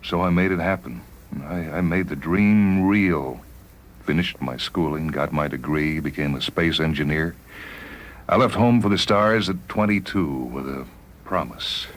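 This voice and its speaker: A man's voice: rumbling low, measured pace. A man playing a dying spaceman who reflects wistfully and earnestly, with a hesitant dramatic delivery, in a dramatized short story. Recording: noisy vintage radio drama recording.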